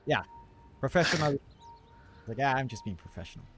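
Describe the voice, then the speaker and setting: A man casting a live esports match, breaking down the play with brisk analysis and joking asides. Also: mid-range voice, expressive, fairly bright tone, soft background music. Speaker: esports caster. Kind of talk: live game commentary.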